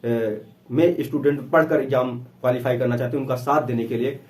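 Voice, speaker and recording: A man's voice: mid-range voice, steady tone, fairly quick, darkish tone. A man giving an informal explanation to students as an exam-prep teacher, with a repetitive, emphatic way of advising.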